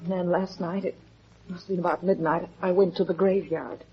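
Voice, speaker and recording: An actress playing a frightened, defensive young murder suspect in a 1950s radio melodrama, halting and pleading. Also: female voice, on the low side, steady tone, slightly rough. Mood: anxious confession. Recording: dull, muffled vintage 1950s radio recording.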